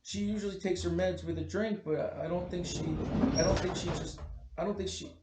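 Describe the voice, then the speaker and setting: A man playing a nervous, scheming husband who feigns innocence and stammers haltingly at a comedy table read. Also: sits high, fairly even intonation, close to an everyday voice. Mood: shaken alibi rehearsal. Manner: halting, stammering, repeats himself. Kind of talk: comic film script table read. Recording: echoey room with background noise.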